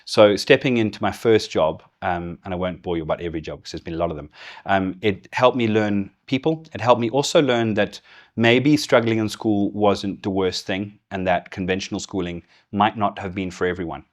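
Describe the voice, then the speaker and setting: A middle-aged man from Zimbabwe whose Zimbabwean accent has softened toward English, telling his story candidly as an interview guest. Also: lowish voice, normal speed. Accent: Zimbabwean with English influence. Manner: candid, storytelling, laughs easily. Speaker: guest, business executive. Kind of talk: casual interview conversation.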